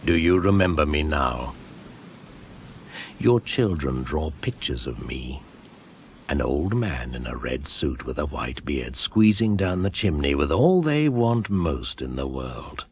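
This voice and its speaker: Extremely deep, ancient winter spirit. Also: male voice, big pitch swings, exaggerated voice. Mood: brooding, incantatory. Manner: slow, incantatory, menacing, dramatic. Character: ancient pagan winter spirit, shaman ancestor, brooding and commanding. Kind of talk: 1980s radio drama monologue. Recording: very noisy old radio broadcast recording.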